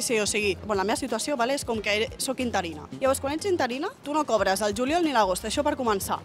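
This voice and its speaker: A young woman with a Catalan accent, a primary school teacher, speaking outspokenly and emphatically on a city street into a handheld mic. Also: fairly high pitch.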